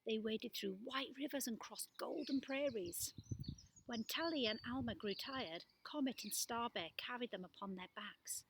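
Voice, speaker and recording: A woman reading a children's storybook aloud as a storyteller, with expressive, dramatic delivery. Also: fairly high pitch, unhurried, noisy background.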